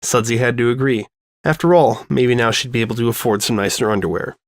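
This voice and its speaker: A man's voice: mid-range voice, a little fast, no room sound, studio quality. A man reading fan fiction as scripted story narration.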